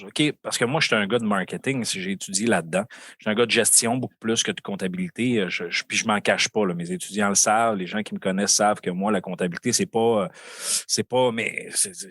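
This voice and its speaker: A man's voice: fairly low pitch, a bit bright, no room sound, studio quality. A man with a Québécois accent hosting a casual round-table podcast, joking and hesitant with many fillers. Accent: Québécois French. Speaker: podcast host.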